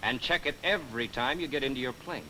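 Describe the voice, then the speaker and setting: A man's voice: mid-range voice, quick pace, slight background noise, poor audio. A man playing a wisecracking, folksy narrator in a 1940s training film, telling cautionary tales with slangy, wry, theatrical delivery. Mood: wry, admonishing. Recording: old 1940s film soundtrack, loud music behind.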